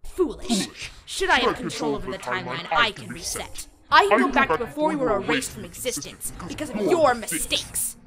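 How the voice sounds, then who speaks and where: A woman's voice: fairly high pitch, big pitch swings. A woman playing a sinister, grandiose, contemptuous villain, the split-off half of a monster scientist, in a dramatic comic dub. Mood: scornful, commanding. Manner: grandiose, contemptuous, drawn-out theatrical delivery. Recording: loud music over the voice.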